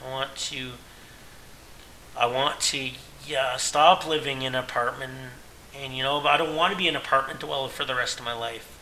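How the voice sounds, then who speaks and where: A man's voice: mid-range voice, steady tone, normal speed, sharp tone, slight background noise, rough recording. A Canadian man in his late thirties, talking earnestly and at length in a rambling, self-correcting personal monologue as a podcast host. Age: 30s.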